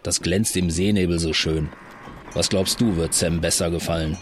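A man acts out a style-conscious pirate in an improvised audio play. His voice is very deep, his delivery fussy and florid, with slight background noise. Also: steady tone, normal speed, natural voice. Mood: fussy, pleased.

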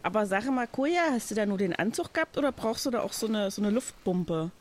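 Chatty, mid-pitched pirate crewmate played by a woman. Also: expressive, fast talker, close to an everyday voice. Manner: rambling, colloquial. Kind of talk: improvised audio drama.

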